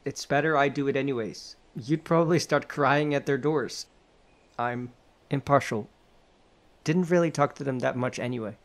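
A man's voice: medium pitch, moderate pace, natural voice. A man playing an aloof, dismissive rebel in a sci-fi audio drama, blunt and nonchalant. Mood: dry, blunt. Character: aloof, dismissive rebel comrade named Mark.

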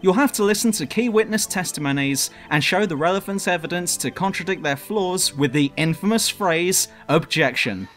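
A man's voice: high voice, lively intonation, moderate pace, few pauses, a bit bright. A man reading a scripted review narration in a dramatic, emphatic way, as the presenter of a countdown video. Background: music.

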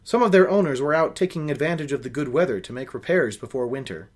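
Young guy reading a book out loud, really lively pitch, offhand wry comments. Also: quick pace. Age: young adult. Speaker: host of a book reading show. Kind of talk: reading a novel aloud with casual asides. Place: small room at home.

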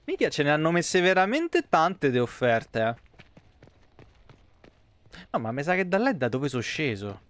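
A man's voice: fairly high pitch, sing-song, normal speed, pauses often, a bit bright. A man, giving blunt, wry, sweary live commentary as he streams a video game. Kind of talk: casual live gameplay commentary.

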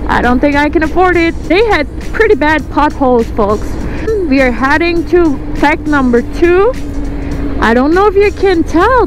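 A woman in her forties from Turkey with a Turkish accent, chatting excitedly to viewers while riding outdoors on a motorcycle. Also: high-pitched, poor audio. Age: 40s. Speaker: vlogger. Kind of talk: casual ride commentary.

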